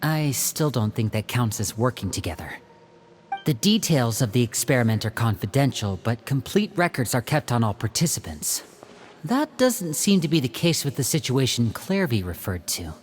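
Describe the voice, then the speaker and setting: A man playing a composed, loyal young operative in a video game, measured and earnest as he leads. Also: mid-range voice, sing-song, big-room sound, lightly acted. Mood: earnest, firm. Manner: measured, explanatory, firm. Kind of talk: video game character dialogue.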